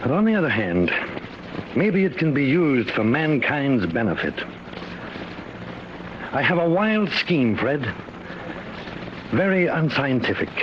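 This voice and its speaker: A worried professor from a 1950s radio play, speaking earnestly with measured hesitation. His pitch moves expressively, and the old recording is muffled and clearly noisy. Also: very animated. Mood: earnest, confiding. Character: grave, worried professor inventing time travel, fatherly. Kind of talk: 1950s radio drama acting.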